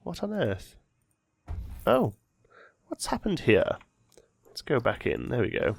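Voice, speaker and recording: A man's voice: mid-range voice, huge pitch swings, slow pace, vocal fry, breathy edge, no room sound. A man with a slight head cold, hosting a let's play and thinking aloud as he builds, often correcting himself mid-sentence. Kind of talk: casual gameplay commentary.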